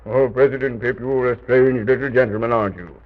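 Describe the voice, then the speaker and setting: A man playing a courteous, patient castle guide in armor for an old children's radio serial, speaking formally and with measured explanation. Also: medium pitch, steady tone, slight background noise, natural voice. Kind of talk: 1920s-30s children's radio serial acting. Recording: tinny old radio recording.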